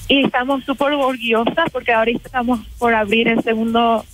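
A woman's voice: a little high, flat delivery, brisk, fairly bright tone, faint music behind, rough recording. Young woman talking hesitantly by phone. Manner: hesitant, mixing in English words. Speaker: café owner, phone guest. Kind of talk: casual phone interview. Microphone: phone line.

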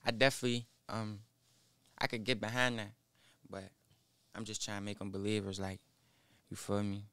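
A nineteen-year-old man, a rapper guest in a small studio, speaking haltingly into a boom mic with many fillers. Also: little pitch movement, pushed and bright, clean tone, no echo. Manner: halting, mumbled, full of fillers. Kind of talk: casual interview. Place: small studio with foam wall. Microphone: studio mic on boom arm, headphones.

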